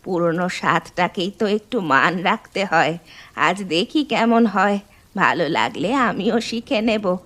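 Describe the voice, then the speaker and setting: A woman's voice: fairly low pitch, animated. A woman voicing an elderly Bengali mother-in-law in a cartoon, firm and traditional, fondly chiding and instructing. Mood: gently advising. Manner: unhurried, instructive, gently chiding. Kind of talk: animated moral story voice acting.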